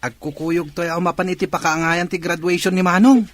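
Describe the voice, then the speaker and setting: A man's voice: high voice, flat delivery, a little fast, close to an everyday voice. A man playing a dutiful, aspiring young brother with an Ilocano accent in a radio comedy drama. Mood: earnest.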